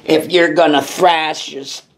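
A man's voice: a little high, fairly even intonation, noisy background. A man who sells car parts, talking bluntly in a casual way to the camera from an indoor parts workshop. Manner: blunt, plain-spoken, deliberate. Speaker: parts seller explaining brakes. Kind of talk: casual shop talk to camera.

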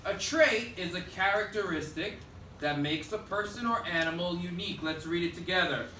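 A man in his thirties teaching third graders with call-and-response, animated and projecting to the class. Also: sits high, normal speed. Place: elementary school classroom, indoors. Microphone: far camera at the back of the room. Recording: roomy, noisy classroom, distant camera mic.

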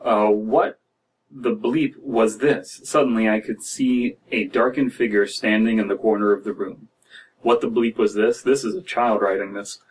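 A man in his thirties reading and reacting to stories as a podcast host, in a halting, wry, casual way. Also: lowish voice, fairly even intonation, slightly rough, distant mic, rough recording. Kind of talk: casual commentary and story reading. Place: small dim room indoors.

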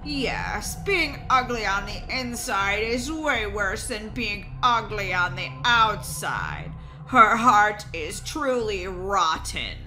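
Lady doing a smug old mad scientist guy for a kids game story, creepy n condescending, real drawn out, music going loud. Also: medium pitch, big pitch swings, exaggerated voice, poor audio. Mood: calm, sinister. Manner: slow, deliberate, condescending. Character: gloating elderly male mad scientist, smug and sinister. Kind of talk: children's Roblox roleplay story. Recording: background music under the voice.

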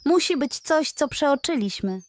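A woman's voice: high voice, expressive, a little slow, voice pushed up. A woman voicing a firm, decisive male animal friend in a bedtime audio story.

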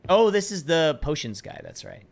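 Hoarse man streaming a video game. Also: fairly high pitch, sing-song, moderate pace, a little hiss or hum. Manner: reads game subtitles aloud, swears casually. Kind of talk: casual gameplay commentary. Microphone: headset or desk mic, webcam.

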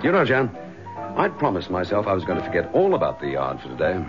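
An actor with a British accent playing a wry police chief inspector in a radio drama, talking conversationally. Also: male voice, sits low, huge pitch swings, fast talker, music in the background. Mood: preoccupied. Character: dry, frustrated male police chief inspector, affectionate husband. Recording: dull lo-fi radio drama recording.

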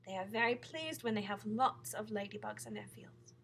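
A woman's voice: medium pitch, steady tone, moderate pace, creaky, fairly bright tone, a little hiss or hum. A woman voicing a proud, genteel female ladybug for a children's storybook, speaking precisely and formally with a slightly snobbish manner. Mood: proud, earnest. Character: proud, prim, genteel female ladybug, modest yet self-satisfied. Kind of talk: children's storybook character reading.

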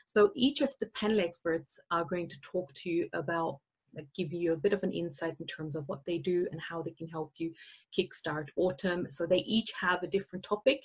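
A woman's voice: on the low side, steady tone, brisk, slightly rough, rough recording. A woman from Austria, not an English speaker, hosting an online summit in a chatty presentation with many fillers, over a webcam on a video call. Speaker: summit host. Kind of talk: webinar presentation. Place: indoor room.

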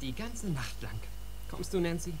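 A man's voice: on the high side, big pitch swings, moderate pace, noisy background. An actor playing an easygoing, protective teenage boy in a German audio drama. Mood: low-key, tired.

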